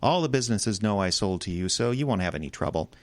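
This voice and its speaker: A man's voice: fairly low pitch, expressive, fast talker, dry recording, natural voice. An actor with an American accent playing a goofy, talkative small-town geek in his thirties, rambling and stammering eagerly in an audio drama. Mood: easygoing, explaining. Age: 30s.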